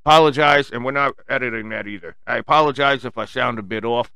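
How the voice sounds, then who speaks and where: A man's voice: mid-range voice, fast talker, sharp tone, clean tone, no echo. A man with a strong Long Island, New York accent, rambling casually to his listeners as a solo host in a blunt, profane, slangy way. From Long Island, New York, United States. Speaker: podcast host addressing listeners.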